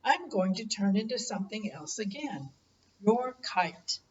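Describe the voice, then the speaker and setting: Gentle butterfly king in a kids' book, grandma reading him, calm and kind, distant muffled mic. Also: mid-range voice, measured pace, natural voice. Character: gentle noble male monarch butterfly. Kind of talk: storybook read-aloud.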